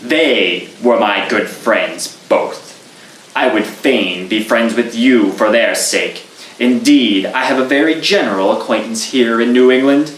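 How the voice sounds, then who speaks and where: A man's voice: medium pitch, measured pace, a bit bright, echoey room, far from the mic, slight background noise, lightly acted, rough recording. A man doing a sly old devil traveler. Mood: genial.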